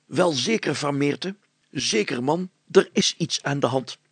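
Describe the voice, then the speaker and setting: A pompous, wheedling village mayor from a children's storybook, put on by a male reader. He blusters loudly in a raised, husky voice, doubling his phrases with grand exclamations. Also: a little high, lively intonation, measured pace, no room sound, voice pushed up, heavily acted. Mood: plaintive, blustering. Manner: pompous, exclamatory, repeats himself.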